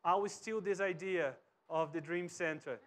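Man, Brazilian accent, pastor, very high voice, lively sermon, church stage. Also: moderate pace. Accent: Brazilian Portuguese. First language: Portuguese. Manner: animated, wide gestures, swings from soft to loud. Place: church stage with cross backdrop and bookshelves.

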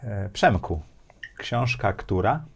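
A man's voice: lowish voice, animated, slow, gravelly, slight background noise. A man in his forties hosting an interview in a casual, conversational style. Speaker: podcast host. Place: small furnished indoor studio.

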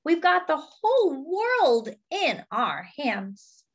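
A woman's voice: high-pitched, very animated, takes it slowly, lo-fi sound. A woman reading a picture book aloud to young children, in simple phrases as a children's storyteller.

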